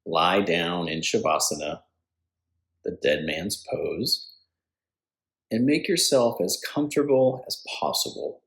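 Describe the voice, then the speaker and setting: A man leading a guided yoga nidra meditation as instructor, speaking calmly and deliberately with pauses between phrases. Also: low voice, gravelly.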